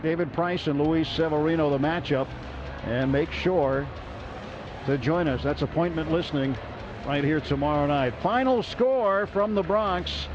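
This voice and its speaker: A man calling live baseball play-by-play on radio, brisk and excited as the plays unfold. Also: on the high side. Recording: lo-fi radio broadcast with crowd noise.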